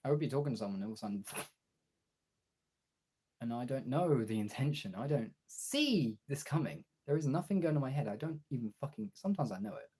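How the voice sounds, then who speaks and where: A man chatting candidly on a livestream in a halting, husky, gravelly voice, swearing casually, recorded on a phone. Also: medium pitch, animated, a little mellow. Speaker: live streamer and voice actor. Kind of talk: casual confessional livestream chat.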